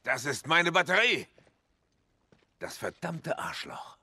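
A male actor playing a gruff, bitter middle-aged survivor in a video game dub, curt and quick to swear. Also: fairly high pitch, big pitch swings, pushed and bright, exaggerated voice. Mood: grumpy. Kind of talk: dubbed video game acting. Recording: noisy game cutscene soundtrack.

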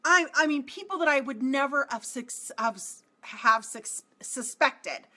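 Brief: forties woman, high bright voice, coach talking to camera, emphatic and repetitive. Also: unhurried. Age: 40s. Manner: emphatic, repeats phrases, animated with hand gestures. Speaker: marketing coach. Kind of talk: direct-to-camera motivational talk. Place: small home office indoors.

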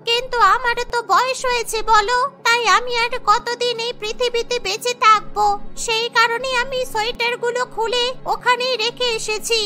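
A woman's voice: extremely high pitch, little pitch movement, normal speed, bright, forceful tone. A woman voicing a frail, kindly, sorrowful elderly grandmother in a cartoon, speaking plaintively. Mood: grateful, resigned. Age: older. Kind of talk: Bengali cartoon story voice acting. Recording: background music under the voice.

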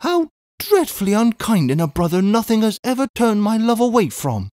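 A male reader plays a tearful, devoted Englishwoman from a classic storybook. The voice is lifted high and plaintive, lamenting every phrase with wounded affection in an English accent. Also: very animated, moderate pace, a little mellow, no room sound, clean studio sound. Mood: mournful, reproachful. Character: tearful, loving middle-aged Englishwoman, dramatically wounded sister. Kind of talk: audiobook character voice.

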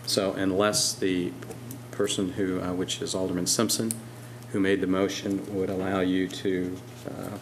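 An older man, the mayor, chairing a city council meeting and ruling on motions in an unhurried, procedural way. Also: sits low, monotone, noisy background. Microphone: desk microphone.

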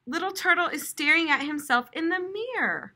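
Bright, animated young American woman teacher. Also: extremely high pitch, normal speed. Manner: expressive, explanatory, clearly addressed to children. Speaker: primary school teacher. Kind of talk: read-aloud lesson for young children. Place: classroom, indoors.

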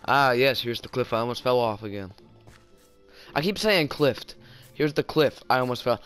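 Young southern dude, kinda tired, rambly jokey game commentary, faint music behind. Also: male voice, mid-range voice, normal speed, fairly bright tone, clear, resonant tone. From southern United States. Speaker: gaming YouTuber. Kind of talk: casual gameplay commentary.

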